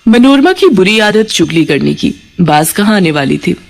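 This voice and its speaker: A woman's voice: on the low side, big pitch swings, normal speed, gravelly. A woman reading scripted narration for a moral family story as its narrator.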